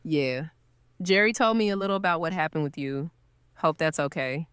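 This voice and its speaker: A woman's voice: sits low, lively intonation, moderate pace, fairly bright tone, clean tone, no room sound. A woman playing a kind, earnest newcomer's host in an audio drama, speaking casually and sympathetically. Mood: curious, casual. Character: kind, grateful woman welcoming a newcomer, gently guilty.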